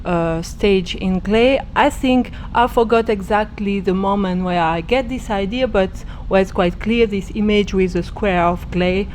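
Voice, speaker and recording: A woman from Switzerland, an artist speaking English haltingly, searching for her words in an interview. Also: mid-range voice, a little mellow, a little hiss or hum, rough recording. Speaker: artist and director. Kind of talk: interview answers.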